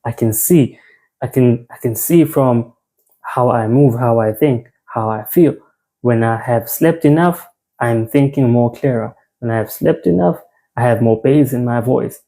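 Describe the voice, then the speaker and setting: A man's voice: medium pitch, unhurried, gravelly, dark tone, low-quality recording. A young South African man giving an informal men's health talk, conversational, repeating phrases and restarting words.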